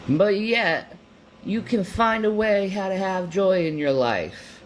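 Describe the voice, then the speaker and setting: A woman delivers an earnest, motivational monologue at an unhurried pace. Her pitch sits mid-range and she repeats phrases for emphasis. A faint room hum runs behind the voice. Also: lively intonation.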